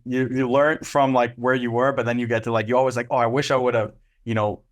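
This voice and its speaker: A man's voice: medium pitch, level tone, a little fast. A young man from Israel, answering interview questions casually and loosely, with many ums and restarts. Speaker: agency co-founder and CEO.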